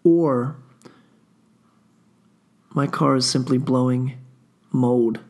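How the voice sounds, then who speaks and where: A man's voice: mid-range voice, steady tone, drawn-out words, a little mellow. A man hosting a solo podcast, under the weather with a stuffy runny nose, rambling casually through a profane personal story with lots of fillers. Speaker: podcast host.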